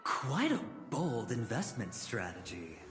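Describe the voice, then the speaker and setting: A man's voice: medium pitch, huge pitch swings, slow pace. A man playing a suave, teasing young gambler in a video game, with a playful, theatrical drawl. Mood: smug, teasing. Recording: echoey reverberant hall, clear music behind.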